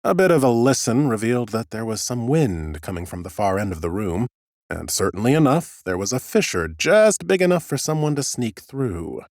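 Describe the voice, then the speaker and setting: A man narrating an audiobook in the first person as an ancient undead scholar, a fussy, smug, whimsical gentleman with a theatrical, drily humorous delivery. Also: mid-range voice, sing-song, moderate pace, no room sound, clean studio sound. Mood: dry, observant.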